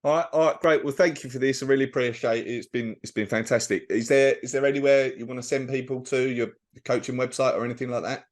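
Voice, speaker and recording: A man hosting an interview, asking questions and wrapping up briskly with repeated thanks. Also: medium pitch, fairly even intonation. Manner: brisk, friendly wrap-ups with repeated "all right". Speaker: podcast host.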